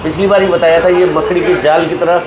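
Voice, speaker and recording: A man's voice: on the high side, fairly even intonation, fairly quick, a crowd in the background. A man speaking as an association leader in an interview, earnestly appealing to members and repeating points for emphasis. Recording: echoey room with background noise.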